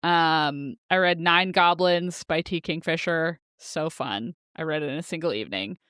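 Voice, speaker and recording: A woman's voice: low voice, moderate pace, fairly bright tone, clean tone, no room sound, studio quality. A woman co-hosting a podcast, chatting casually about books in a giggly, enthusiastic way. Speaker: podcast co-host. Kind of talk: casual book chat.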